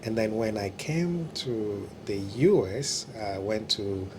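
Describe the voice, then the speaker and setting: A man from the Democratic Republic of Congo, a pastor answering interview questions in a hesitant way with many pauses. His is Kiluba. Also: lowish voice, animated, background noise. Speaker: pastor, church regional staff.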